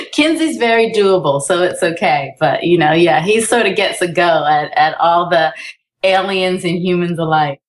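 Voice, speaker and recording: A woman guest on a podcast chatting casually, bubbly and quick to laugh. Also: on the low side, expressive. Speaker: guest actor. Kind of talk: casual chat over a call.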